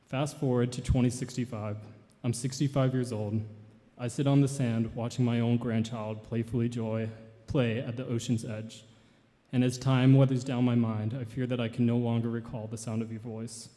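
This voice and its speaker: A man's voice: mid-range voice, warm tone. Earnest young man giving a eulogy. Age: 20s. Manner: earnest, measured recitation. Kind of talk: memorial tribute and poetry reading. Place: large church hall. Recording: long hall reverb.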